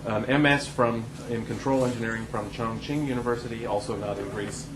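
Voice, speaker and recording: A middle-aged man giving an informal, halting seminar introduction as host, reading partly from notes. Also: medium pitch, steady tone. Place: university lecture room, indoors. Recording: roomy, echoey lecture room with clear noise.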